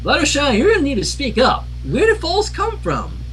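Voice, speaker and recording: A man plays an eager little pony girl from a cartoon story. The delivery is high, lively and childlike, blunt and plain-spoken, over faint noise. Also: moderate pace, full character voice. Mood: insistent. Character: eager, blunt little filly ponies, young girls.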